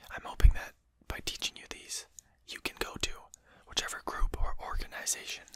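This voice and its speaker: Casting: performer playing a genteel vampire host for ASMR roleplay, crisp bright whisper, formal, polite, deliberate. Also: natural voice. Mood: reasonable, explaining. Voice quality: breathy unvoiced whisper. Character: courteous, genteel vampire host lecturing a captured hunter.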